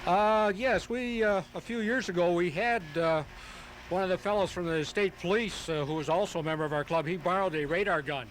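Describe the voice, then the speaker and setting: Halting, higher-voiced man explaining methodically. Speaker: interviewed hobby pilot. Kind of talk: explanatory live radio interview. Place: outdoors at a flying field. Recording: noisy home tape of outdoor radio broadcast.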